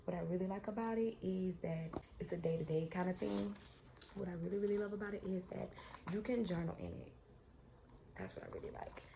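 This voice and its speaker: A woman's voice: lowish voice, fairly quick, vocal fry, noisy background. A woman, chatting casually and heartfelt in a vlog, rambling and repeating herself. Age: 20s. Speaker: vlogger.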